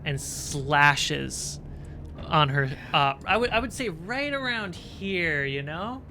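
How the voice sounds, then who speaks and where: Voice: medium pitch, sing-song, takes it slowly, sharp tone, slight background noise. A game master narrating tabletop fantasy combat and casually talking through rules. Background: background music.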